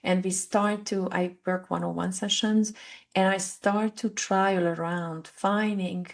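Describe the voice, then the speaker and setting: A woman with a Hungarian accent, a guest on a podcast, explaining her practice in a measured way with occasional hesitations. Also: medium pitch, fairly even intonation, gravelly. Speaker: guest, family constellation facilitator. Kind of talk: conversational podcast interview.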